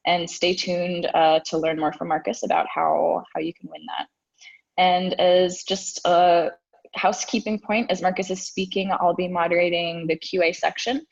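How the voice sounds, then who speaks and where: A woman's voice: on the low side, level tone, moderate pace, gravelly, highs cut off. A woman moderating a webinar, reading a prepared introduction with a few fillers. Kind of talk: scripted introduction.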